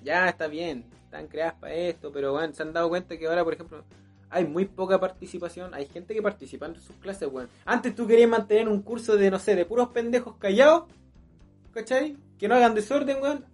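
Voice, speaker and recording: A young man with a Chilean accent hosting a casual podcast chat over beers, slangy and breaking into loud, emphatic rants. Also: high voice, brisk, soft background music. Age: young adult. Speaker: podcast host.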